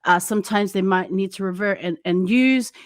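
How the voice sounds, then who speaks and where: A woman's voice: mid-range voice, no echo. Reflective woman podcast host, dry sound. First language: Samoan speaker alongside English. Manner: reflective, measured, frequent fillers. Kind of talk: casual interview talk.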